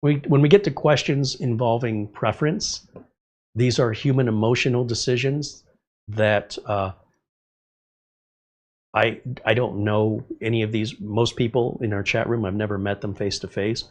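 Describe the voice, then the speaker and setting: A man's voice: on the low side, steady tone, unhurried. A man hosting a casual tech livestream, rambling conversationally while a bit congested from a cold. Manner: rambling, conversational, talks through tangents. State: slightly congested, recovering from a cold. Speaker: tech channel host answering live chat. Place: studio.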